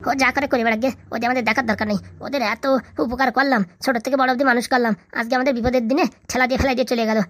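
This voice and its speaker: A woman's voice: on the high side, fairly even intonation, rapid-fire, hardly any pauses, fairly bright tone, no echo, close to an everyday voice. A woman voicing a grumbling, resentful village labourer down on his luck in a comedy cartoon. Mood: bitter, aggrieved.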